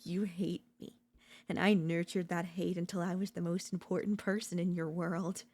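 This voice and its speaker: A woman voice-acting an obsessive, unhinged yandere in a dark ASMR audio roleplay, theatrical and taunting, mocking and seductive. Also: fairly low pitch, little pitch movement, normal speed, lightly acted. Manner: theatrical, taunting, swinging between intimate murmurs and intense outbursts.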